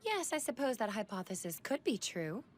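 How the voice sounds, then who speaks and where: Smug girl inventor, fairly high. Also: sing-song, moderate pace. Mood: pensive. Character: smug, teasing, brainy girl inventor sidekick. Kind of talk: anime English dub acting.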